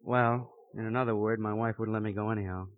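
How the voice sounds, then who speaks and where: An actor playing a dry, plainspoken police sidekick in 1950s radio crime drama style. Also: male voice, lowish voice, fairly even intonation, fast talker, natural voice. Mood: matter-of-fact. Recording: muffled old radio recording.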